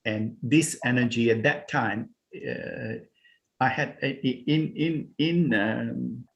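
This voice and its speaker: A man's voice: mid-range voice, takes it slowly, gravelly, lo-fi sound. A man from Singapore, a spiritual healer and meditation teacher, talking as a guest in a casual interview over a video call, hesitant with many restarts. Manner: hesitant and searching, many restarts and "you know".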